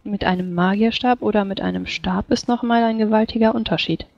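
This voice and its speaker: A woman speaks in character during a tabletop role-playing game. Her tone is brisk and matter-of-fact, with some filler sounds. Her voice comes through a dull, lo-fi microphone. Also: mid-range voice, fairly even intonation, gravelly, no room sound. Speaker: player.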